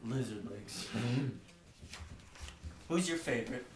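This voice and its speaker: A woman with a very low voice chats playfully, her pitch swinging around. She sounds distant, with noticeable noise behind her. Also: lively intonation, extremely slow. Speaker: listener to bedtime story. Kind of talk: playful casual chat. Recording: echoey, noisy, distant from mic.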